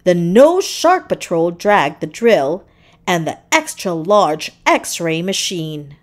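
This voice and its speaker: A woman reading a children's storybook aloud as narrator, with dramatic, deliberate phrasing. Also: lowish voice, very animated.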